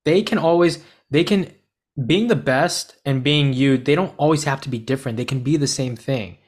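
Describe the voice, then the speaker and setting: A man's voice: medium pitch, normal speed, darkish tone. A man hosting an interview, asking long questions in a casual, rambling way and restarting himself as he goes. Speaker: podcast host.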